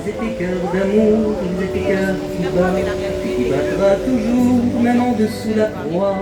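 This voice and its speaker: A woman delivers a tribute poem at a slow, grave pace, sliding between spoken lines and song. She sits back from the mic in an echoey space. Loud instrumental music plays underneath her. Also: mid-range voice, distant mic. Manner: slow, solemn, half-spoken half-sung. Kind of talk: sung and recited tribute poem.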